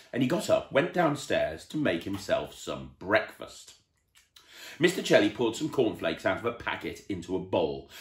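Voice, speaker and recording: Male children's entertainer: storybook narration, highly varied intonation, audible room echo. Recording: roomy, echoey indoor sound.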